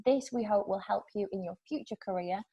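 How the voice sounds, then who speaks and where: Mellow-voiced British woman, admissions manager. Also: medium pitch, a little fast, slightly rough. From England. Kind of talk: online information session. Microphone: video call.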